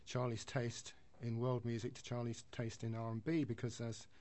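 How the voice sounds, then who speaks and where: A man's voice: mid-range voice, completely flat delivery, moderate pace, darkish tone. A man who is a guest on a radio music show, introducing his track choices in a chatty, thinking-aloud way. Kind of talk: casual radio chat.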